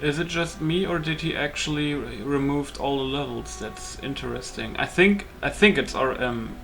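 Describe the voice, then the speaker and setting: A man's voice: mid-range voice, normal speed, creaky. A man giving casual let's play commentary as a gaming host, thinking aloud in a hesitant way. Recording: loud music behind the voice. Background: music.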